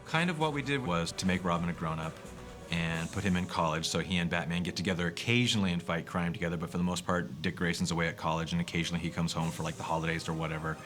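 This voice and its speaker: A man's voice: deep voice, fast, over music. A man who works as an animation creator, explaining things informally in a casual documentary interview.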